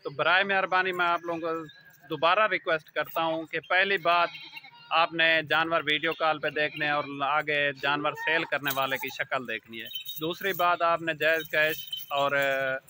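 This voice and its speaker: A man's voice: on the high side, steady tone, normal speed, background noise. A man in his thirties from Pakistan, speaking, earnestly warns viewers straight into a phone held at arm's length at his outdoor goat farm and keeps calling them his brother. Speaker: goat farm owner and channel host. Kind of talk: selfie-video warning talk to viewers. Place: outdoor goat farm pen, among goats and trees. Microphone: phone front camera at arm's length.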